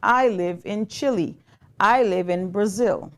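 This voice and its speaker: A woman with a Haitian Creole accent teaching English in a live online lesson, speaking slowly and repeating phrases as she writes them out. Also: medium pitch. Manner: slow, repeats phrases while writing them out.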